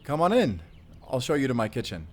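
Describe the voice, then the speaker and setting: A man playing a friendly, self-effacing forest ranger and dad in an intimate audio roleplay, easygoing and gently teasing. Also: mid-range voice, sing-song, a little fast, noisy background, lightly acted. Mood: delighted, welcoming.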